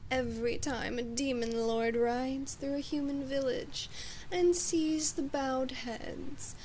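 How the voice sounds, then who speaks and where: A woman voicing a haughty, domineering demon queen in an ASMR roleplay, measured and condescending, slipping at times into whispers. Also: high-pitched, steady tone, darkish tone, slight background noise, poor audio. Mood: haughty disdain. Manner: imperious, measured, condescending, drifting into breathy whispers. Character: haughty, domineering female demon queen addressing her human knight. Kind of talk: ASMR roleplay, scripted monologue.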